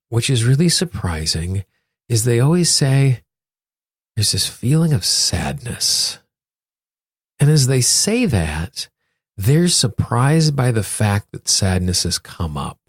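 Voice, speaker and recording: A man's voice: mid-range voice, very animated, slow pace, slightly rough, no room sound, studio quality. An American man hosting a solo podcast, explaining things and speaking directly to his listeners. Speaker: podcast host.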